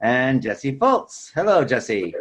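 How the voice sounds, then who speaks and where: A man's voice: mid-range voice, big pitch swings, slow pace, fairly bright tone. A man hosting a podcast in a casual, chatty style. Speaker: podcast host. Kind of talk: casual chat.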